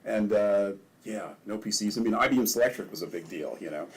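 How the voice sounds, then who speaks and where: Older man, very low voice, relaxed storyteller, chuckles, faint background hum. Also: brisk. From Maryland, US. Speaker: ward councilman and candidate. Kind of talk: casual interview conversation.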